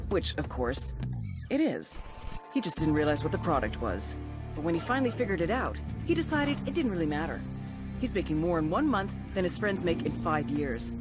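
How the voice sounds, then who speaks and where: A tough female intelligence agent in a military game, with a low voice that swings widely in pitch. She is wry, blunt and ruthless, with music behind her. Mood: wry briefing. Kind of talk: scripted briefing narration.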